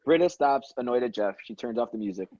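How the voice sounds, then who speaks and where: A man reads screenplay action lines as a scripted narrator. His tone is relaxed and a little husky. The recording is dry and slightly dull. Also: medium pitch, quick pace, dry recording. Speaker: stage-direction reader at a table read.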